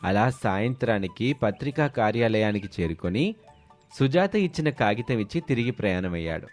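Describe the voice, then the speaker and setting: A man's voice: fairly low pitch, animated, moderate pace, faint music behind. A man narrating a scripted moral story as a storyteller.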